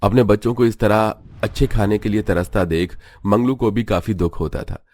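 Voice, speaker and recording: A man's voice: lowish voice, normal speed, a little mellow, dry recording. A man narrating a scripted cartoon story as the storyteller.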